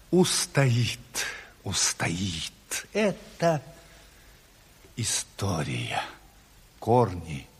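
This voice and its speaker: Worn out old communist thinker, stammery and halting, big swings in pitch, husky, echoing hall, radio drama. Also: male voice, full character voice. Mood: solemn resolve. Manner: stammering, halting, rambling, theatrical. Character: exhausted, feverish old communist intellectual, a muddled impassioned idealist. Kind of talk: Soviet radio play acting. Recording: reverberant hall, 1970s radio production.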